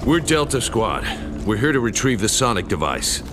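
An actor playing a brisk, matter-of-fact squad soldier in a game cutscene. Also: male voice, mid-range voice, very animated, noisy background, natural voice. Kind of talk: video game cutscene acting.

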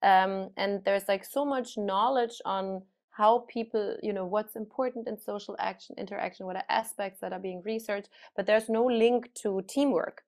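A woman guest talking about neuroscience research on a video call, chatty and animated, with many fillers and restarts. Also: medium pitch, moderate pace, few pauses. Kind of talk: casual video-call conversation.

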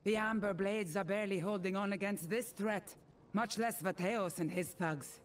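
A woman's voice: medium pitch, normal speed, slight background noise, close to an everyday voice. An actress playing a cold, proud, commanding female mercenary leader in a video game, stern and imperious. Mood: grim, dismissive. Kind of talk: video game character dialogue.

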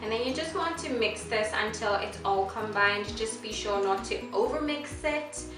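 A woman's voice: on the high side, animated, moderate pace. A young woman from South Africa in her twenties, presenting a casual home cooking tutorial in a chatty, upbeat way. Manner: chatty, upbeat, laughs often, talks to her toddler. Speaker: cooking vlogger. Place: home kitchen, indoors. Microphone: camera on tripod at a distance. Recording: roomy kitchen sound, from a distance, music behind.

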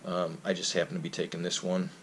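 Low-pitched male vlogger, easygoing gear rundown, faint room hiss. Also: fast talker. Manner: relaxed, plain-spoken, frequent fillers. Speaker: backpacking vlogger. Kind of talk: casual gear walkthrough. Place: indoors, carpeted room.

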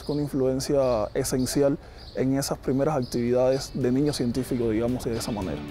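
A man's voice: a little high, dark tone. A man in his thirties with a Cuban accent, a research scientist explaining things in a documentary interview, recorded on a lapel mic. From Cuba. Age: 30s. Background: background music.

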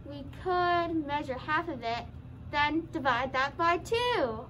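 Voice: sits high, animated, slow, sharp tone, slight background noise, full character voice, rough recording. A young teen performer playing a confident puppet with daft logic in a comedy skit. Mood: earnest. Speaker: student puppeteer in a school drama show.

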